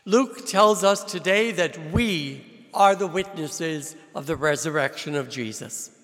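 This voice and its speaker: A man preaching a sermon as a visiting priest, from New Jersey, with slow, deliberate delivery and emphatic rises. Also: a little high, animated, fairly bright tone. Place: large church with long reverb. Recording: reverberant church hall.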